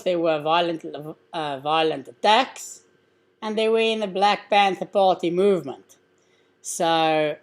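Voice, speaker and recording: A man from South Africa with an Afrikaans accent, hosting a casual live stream Q&A and explaining things conversationally with frequent fillers. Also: fairly high pitch. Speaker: live stream host answering questions.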